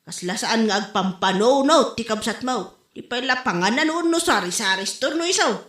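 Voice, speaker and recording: Woman acting brash judgy gossip lady, ilocano accent, high sharp voice, echoey room, comedy drama. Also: lively intonation, normal speed. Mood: scornful. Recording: roomy, echoing room sound.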